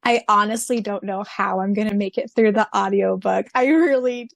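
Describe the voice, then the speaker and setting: A giggly, effusive woman co-hosting a fan podcast, her voice sitting at an ordinary middle pitch. She talks in a loose, chatty way and breaks into laughter often. The sound is dry and close, with no room around it. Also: normal speed, gravelly, no room sound. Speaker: podcast co-host. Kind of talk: casual fan podcast chat.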